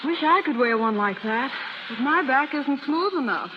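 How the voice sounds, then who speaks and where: An actress in a 1930s radio advertisement playing an excited, chatty, gossipy young woman. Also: sits high, moderate pace. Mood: admiring, excited. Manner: gushing, chatty. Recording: muffled, reverberant, noisy 1930s radio broadcast.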